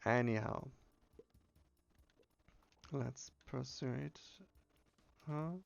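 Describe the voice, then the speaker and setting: Male gamer reading text aloud casually, unhurried creaky delivery, slightly muffled home recording. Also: medium pitch. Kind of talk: casual game playthrough with read-aloud dialogue.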